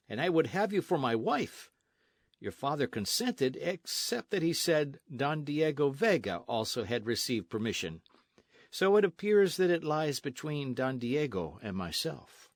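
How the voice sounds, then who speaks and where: A man's voice: medium pitch, very animated, measured pace, dry recording, clean studio sound. A man voicing an arrogant Spanish colonial army captain in a storybook, pompous, sneering and insistent. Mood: ardent, pressing. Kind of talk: storybook narration with character voices.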